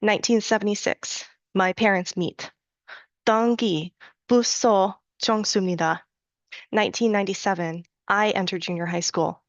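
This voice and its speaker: A woman reading her own poetry aloud at a live reading, in a measured, deliberate recitation with rhythmic repetition and soft, low-key asides between poems. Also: fairly even intonation, no echo. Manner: slows and chants the Latin and prayer lines. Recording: dull, dry lo-fi recording.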